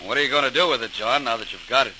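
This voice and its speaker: A man's voice: medium pitch, very fast, pushed and bright, a little hiss or hum, rough recording. An actor playing a plain-spoken, helpful male workman in an old-time radio drama, straightforward and emphatic, on an old recording. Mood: curious, willing. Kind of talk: 1940s radio drama acting.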